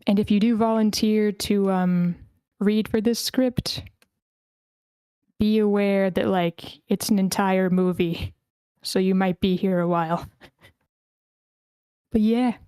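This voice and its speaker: A woman with a smooth, clear voice hosts an online meeting in a relaxed, informal way. Her tone is mellow and the sound is dry and close. She is a little hesitant as she talks, and accommodating with the people taking part. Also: mid-range voice, slow pace, long pauses, dry recording. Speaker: host of an online screenplay table read. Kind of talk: casual meeting hosting. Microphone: close microphone on an online voice call.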